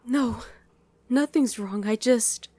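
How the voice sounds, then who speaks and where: A woman voicing a guarded demon who was once a human mother, in an intimate ASMR roleplay with halting, emotional delivery. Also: fairly high pitch, mellow, no echo. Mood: relieved, emotional. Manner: halting, emotional, speaks directly to one listener.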